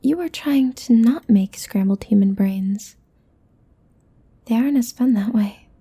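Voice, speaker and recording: A woman voicing a playful, possessive demon in a soft, breathy, coaxing whisper for an ASMR roleplay. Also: a little high. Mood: curious. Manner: slow, coaxing, teasing.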